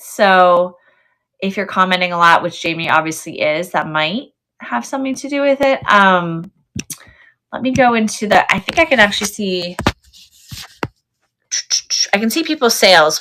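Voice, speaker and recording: A woman's voice: medium pitch, sharp tone. A woman hosting a casual live sale stream, chatting with viewers and thinking aloud as she goes. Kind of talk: casual livestream chat with viewers.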